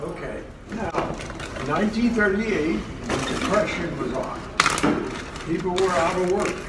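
An elderly man with a high voice tells a story in halting pieces, checking in with his listener as he goes. He is heard from a distance in an echoey room with loud noise. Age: 80s or older. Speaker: assisted living resident telling a story. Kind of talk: conversational storytelling. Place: large indoor room at a care home.

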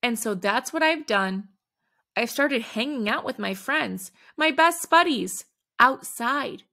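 Woman storyteller for a kids' read-aloud: slow, slightly gravelly, expressive. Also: on the high side. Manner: animated, dramatic emphasis on exclamations. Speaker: children's book reader.

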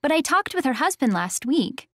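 Friendly woman, played by an actress for language-course dialogue: high voice, very animated, dry studio sound. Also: moderate pace, dry recording. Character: friendly woman chatting with an acquaintance. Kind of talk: scripted textbook dialogue.

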